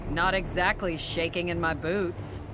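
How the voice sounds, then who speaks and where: A woman's voice: fairly low pitch, fairly even intonation, measured pace, lightly acted. A woman playing a cocky, fearless, wisecracking fighter in a video game. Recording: loud game music underneath.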